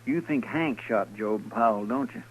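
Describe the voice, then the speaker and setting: An actor playing a deferential, folksy marshal's helper, earnest and respectful, in a 1950s radio western. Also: male voice, lowish voice, moderate pace, lightly acted. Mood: puzzled, respectful. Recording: dull, hissy 1950s radio recording.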